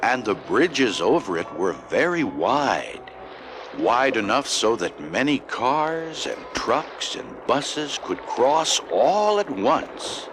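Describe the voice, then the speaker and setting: A man narrating a scripted children's story, with expressive delivery and deliberate phrasing. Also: mid-range voice. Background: loud music.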